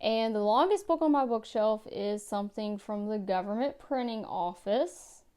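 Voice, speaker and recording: Smooth-voiced woman chatting about her books. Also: medium pitch, moderate pace, dark tone. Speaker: book vlogger. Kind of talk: casual book tag vlog. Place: home room by bookshelves.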